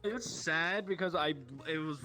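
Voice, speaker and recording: A young man casually telling rambling stories to friends in a slangy, sweary, animated way. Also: on the high side, measured pace, soft background music. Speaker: show host telling stories.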